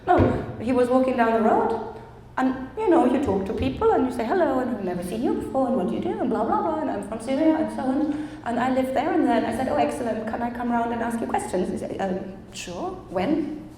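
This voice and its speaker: A woman's voice: fairly high pitch, lively intonation, fairly quick, few pauses, noisy background. A woman from Germany, a photographer, talking chattily about her project at a public event, laughing often and acting out quoted dialogue. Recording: echoey hall, faint ambience.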